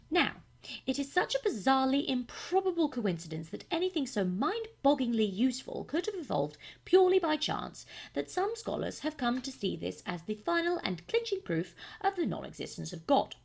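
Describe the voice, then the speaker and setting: Animated woman narrating an audiobook. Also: fairly high pitch, gravelly, slightly rough. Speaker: voice actor.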